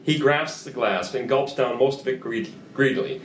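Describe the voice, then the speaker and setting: A man's voice: mid-range voice, moderate pace, a little hiss or hum. A man in his thirties reading screenplay stage directions as scripted narration. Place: small indoor room at a table. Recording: roomy echo, faint music behind.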